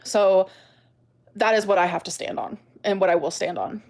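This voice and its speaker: A woman's voice: medium pitch, steady tone, normal speed, a little mellow. A woman video creator talking casually to her viewers, emphatic and defensive, often repeating herself.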